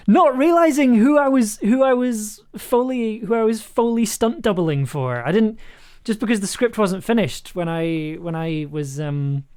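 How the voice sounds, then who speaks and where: A woman's voice: on the low side, a little mellow. A woman chatting casually and playfully on a livestream, thinking aloud as she edits audio. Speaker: streamer and sound designer. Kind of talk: casual livestream commentary while editing audio.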